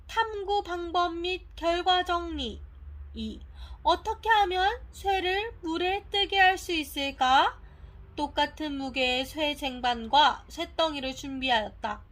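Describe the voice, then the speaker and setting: A woman's voice: very high voice, fairly bright tone. A woman narrating a children's audiobook from a script, reading carefully and expressively.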